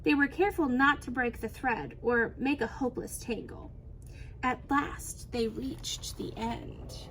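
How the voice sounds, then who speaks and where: A woman reading a children's storybook aloud in an expressive, animated storytelling manner. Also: high voice, measured pace, a little hiss or hum. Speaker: teacher reading a picture book aloud.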